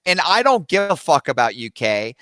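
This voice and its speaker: A man's voice: fairly high pitch, very animated, normal speed, pushed and bright, dry recording. A man from Alaska on a panel, rambling through his points with lots of fillers and "right?". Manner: rambling, keeps adding "right?", many fillers. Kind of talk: heated panel discussion on a live stream.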